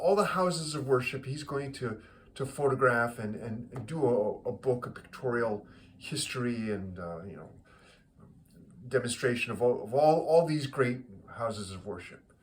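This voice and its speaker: A man tells a joke in a casual, conversational style, as the host of a regular segment. He rambles along haltingly, doubling back on words as he addresses his listeners. His voice sits at a middling pitch for a man.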